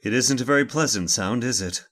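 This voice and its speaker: An actor playing a dry, unflappable gentleman with a wry, commanding manner in an old-time radio horror drama. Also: medium pitch, a little fast, natural voice. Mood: wry, steady. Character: dry, unflappable gentleman master sheltering from a storm.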